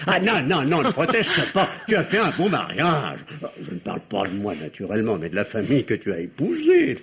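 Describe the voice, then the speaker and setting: An actor playing a bitter, sardonic middle-aged husband and fallen surgeon in an old radio drama, articulating deliberately and theatrically. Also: male voice, medium pitch, husky, exaggerated voice. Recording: dull, muffled old radio recording.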